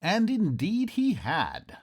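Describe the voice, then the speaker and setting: An actor playing a curious, polite Victorian nobleman in a radio play, melodramatic and genteel, with a husky, breathy voice. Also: high voice, huge pitch swings, slow, faint music behind, full character voice. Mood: wondering, suspenseful. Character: curious, polite Victorian nobleman narrating his eerie adventure. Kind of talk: theatrical radio drama narration and dialogue.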